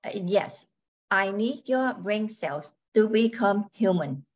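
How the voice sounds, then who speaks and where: An older woman playing a polite, coldly calculating robot wife in an amateur radio play, speaking in a measured, matter-of-fact robotic manner. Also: medium pitch, animated. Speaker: amateur radio drama actor. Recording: dull, muffled lo-fi sound.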